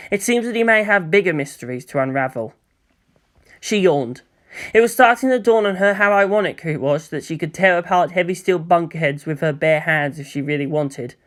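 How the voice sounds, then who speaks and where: A reader narrating a fan fiction story in a measured, even storytelling manner. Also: medium pitch. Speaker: fanfic reader.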